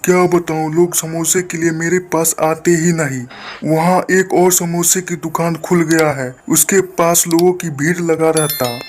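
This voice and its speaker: Casting: man playing a humble, downhearted street-food seller, fairly high voice, plaintive, for a cartoon tale. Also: level tone, a little slow, soft background music, close to an everyday voice. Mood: dejected. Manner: meek, plaintive. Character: poor, humble, dejected male villager and samosa seller.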